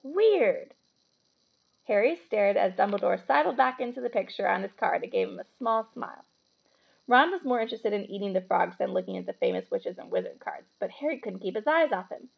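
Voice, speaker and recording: Female read-aloud storyteller, expressive delivery for children, creaky higher voice, slightly dull recording. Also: brisk. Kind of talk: expressive children's book reading with casual asides.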